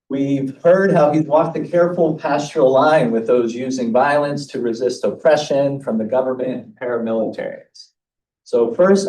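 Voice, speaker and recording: A man delivers a scholarly conference paper, moving between reading and casual remarks. His voice is relaxed and slightly high for a man, heard from a distance with plenty of room echo. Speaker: academic theologian presenting a paper. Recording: echoey room, distant mic, faint noise.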